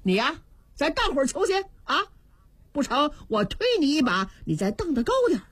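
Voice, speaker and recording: A woman's voice: on the high side, big pitch swings, normal speed, husky, no echo. A woman playing a sly, teasing male official. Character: Dongfang Shuo, clever mocking male court official.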